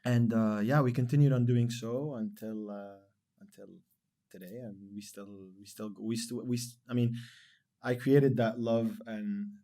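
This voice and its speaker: A man in his thirties from Lebanon, a podcast guest talking in a relaxed, rambling way with many fillers. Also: mid-range voice, measured pace. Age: 30s. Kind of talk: casual interview chat.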